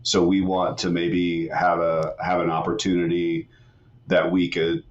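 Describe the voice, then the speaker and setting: A middle-aged man from West Virginia, a retired soldier speaking as a podcast guest in a laid-back, halting way. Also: sits low, completely flat delivery, muffled recording. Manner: laid-back, frequent "man" and "you know", halting restarts. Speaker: guest, retired Army master sergeant and author. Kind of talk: casual podcast interview.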